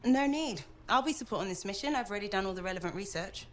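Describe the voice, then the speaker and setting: A woman's voice: mid-range voice, animated, fast, a bit bright, a little hiss or hum, close to an everyday voice. A woman playing a poised, self-assured researcher and mission support specialist in a video game cinematic, composed and articulate. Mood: firm.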